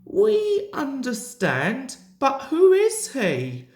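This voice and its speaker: A woman puts on a curious, wary old steam engine for a children's storybook. The voice is raised and emphatic, with slow delivery in an echoey room. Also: high-pitched, huge pitch swings, a little mellow, pitched above the performer's own voice, exaggerated voice. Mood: astonished. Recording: roomy, echoing home recording.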